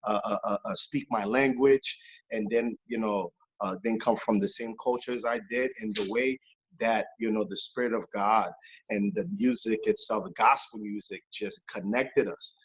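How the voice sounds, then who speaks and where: A man from Haiti, a pastor and music missionary, talking in an animated interview with many fillers and repeated phrases. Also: on the low side, moderate pace, breathy edge, low-quality recording. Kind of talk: casual interview testimony. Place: New York based, remote interview.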